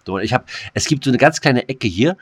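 A man's voice: medium pitch, animated, a little fast, husky. A man co-hosting a podcast, chatting casually in a rambling way with lots of fillers, restarts and crude slang. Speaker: podcast co-host. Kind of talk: casual chat.

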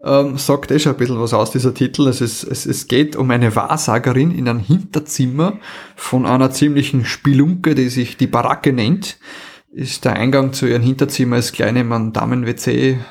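A man's voice: medium pitch, steady tone, moderate pace, creaky, a little mellow. A man with an Austrian accent, co-hosting a podcast and reviewing in casual chat, amused and colloquial, often repeating words for emphasis.

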